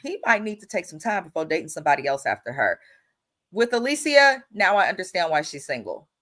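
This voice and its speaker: A young woman hosting a casual TV recap, congested and a bit nasal from allergies, blunt and animated, swearing freely. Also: fairly low pitch, fairly quick. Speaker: host.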